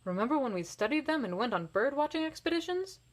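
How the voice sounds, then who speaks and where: Woman as an eager, high-voiced tiny storybook dad. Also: very animated, quick pace. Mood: nostalgic.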